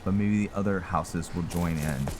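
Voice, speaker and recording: A man's voice: deep voice, normal speed, noisy background. A man giving casual commentary on his own video game playthrough, thinking aloud with mild exclamations. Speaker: gamer narrating own playthrough. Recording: loud game music under voice. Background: loud video game music.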